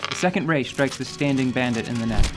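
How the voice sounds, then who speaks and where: A man giving scripted, measured storytelling narration for a fantasy radio drama. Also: medium pitch, low-quality recording. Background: light music.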